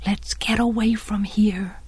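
A woman's voice: medium pitch, fairly even intonation, slow pace, a little mellow, breathy edge, no echo. A woman narrator puts on a young boy, the older brother in a storybook. He is bossy and impatient with the younger ones. The recording is dry and close. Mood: urgent whisper. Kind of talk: audiobook character voice.

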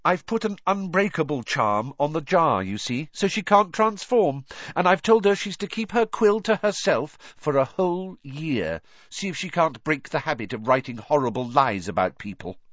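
A man voicing a clever, earnest teenage schoolgirl in a storybook, brisk and precise, with a smug, explanatory manner. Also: fairly high pitch, lively intonation, a bit bright, dry recording, highs cut off, voice pushed up. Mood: smug, serene.

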